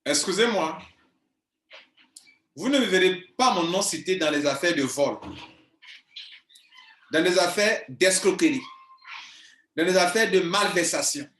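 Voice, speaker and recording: Man, 40s, business coach; high-pitched, insistent, repeats points, roomy echo. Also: animated, measured pace, pauses often, fairly bright tone. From Ivory Coast. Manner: insistent, emphatic, repeats himself. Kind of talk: video monologue to viewers. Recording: echoey room.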